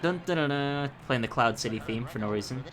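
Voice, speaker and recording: A man's voice: medium pitch, animated, brisk, music in the background. A young man from the Philippines giving casual, rambling, profane gameplay commentary full of tangents. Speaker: gaming YouTuber.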